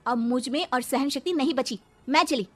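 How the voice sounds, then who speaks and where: A woman voicing an exasperated young daughter-in-law in a cartoon, scolding forcefully. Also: high voice, normal speed. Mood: fed up.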